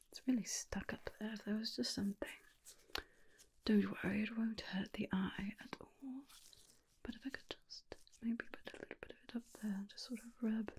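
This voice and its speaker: A tender vampire lady, played by a woman, speaks in a hushed, breathy whisper. She fusses lovingly over her darling, reassuring softly and without hurry. The delivery is intimate ASMR roleplay, gentle and soothing throughout. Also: medium pitch, lightly acted. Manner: soothing, unhurried, tender reassurance with repeated soft phrases.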